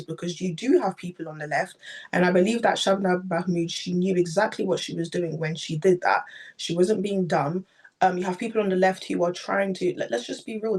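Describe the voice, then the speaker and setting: A woman's voice: fairly low pitch, darkish tone. A woman speaking candidly and earnestly as a guest on a political panel, often restarting her phrases.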